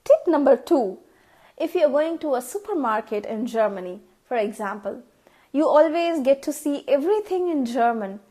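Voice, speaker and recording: A woman's voice: high voice, lively intonation, normal speed, mellow. A young woman from India with an Indian English accent, whose first language is Tamil, giving casual tips in a vlog and stopping often to act out how words sound. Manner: chatty, repeats words, acts out pronunciations. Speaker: vlogger.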